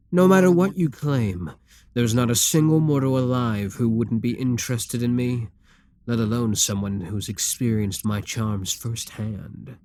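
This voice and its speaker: A man playing an arrogant, seductive demon king in a dramatic ASMR roleplay, speaking in a deliberate, commanding, theatrical way. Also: medium pitch, darkish tone, no echo, clean studio sound, natural voice. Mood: coaxing, persuasive.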